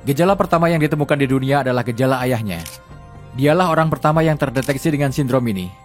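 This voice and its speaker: A man narrating a travel documentary vlog in a scripted voice-over, reading facts in an even, steady way. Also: mid-range voice, fast, faint music behind.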